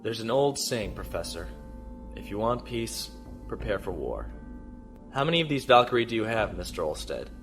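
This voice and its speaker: A man playing a calm, shrewd starship captain in a science fiction audio drama, speaking in a measured, deliberate way. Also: fairly low pitch, faint music behind. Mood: resolute.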